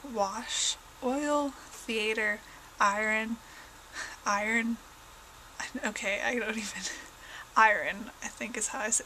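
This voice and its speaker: A woman's voice: medium pitch, bright, forceful tone, a little hiss or hum, poor audio. A young woman from southern Indiana with a southern Indiana accent, slightly congested, chatting casually and rambling as a vlogger at home. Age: young adult. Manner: rambling, many fillers and self-corrections. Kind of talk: casual chat.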